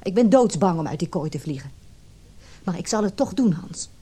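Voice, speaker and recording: An actress playing a conflicted but resolute forty-year-old wife in a radio drama, earnest and measured. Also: female voice, fairly low pitch, lively intonation, warm tone, breathy edge. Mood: bitter, resolute. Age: middle-aged. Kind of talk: radio drama acting with reflective narration.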